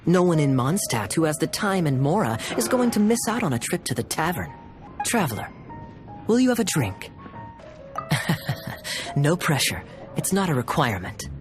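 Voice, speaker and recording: Suave, teasing captain with lively intonation. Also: male voice, fairly high pitch, normal speed, pauses often. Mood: suave, amused. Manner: smooth-talking, wry, theatrical. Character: suave, teasing young cavalry captain with theatrical charm. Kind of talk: English video game voice acting. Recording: music playing behind the voice.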